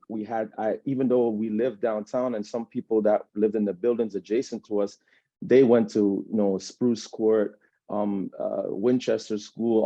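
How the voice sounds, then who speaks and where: A man's voice: on the low side, dark tone, no room sound. A man in his thirties with a Jamaican accent, telling his own story as an interview guest in a loose, rambling way, often checking in with "you know what I'm saying". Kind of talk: personal account in a sit-down interview. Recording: dull lo-fi sound.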